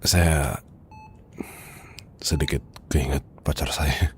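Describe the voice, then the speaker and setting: Guy playing tired heartbroken indonesian boss, asmr roleplay, super deep croaky breathy murmur, hesitant, bit of rumbly noise. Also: fairly even intonation, darkish tone, heavily acted. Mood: weary, confused. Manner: hesitant, murmuring, halting, sighing. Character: weary, heartbroken male boss confiding from sickbed.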